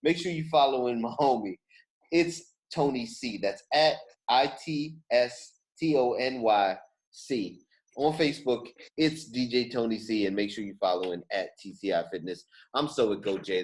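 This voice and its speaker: A man's voice: medium pitch, moderate pace, low-quality recording. An American man hosting a podcast in casual, slangy talk, emphatic and often repeating his phrases. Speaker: podcast host. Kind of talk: casual chat, podcast sign-off.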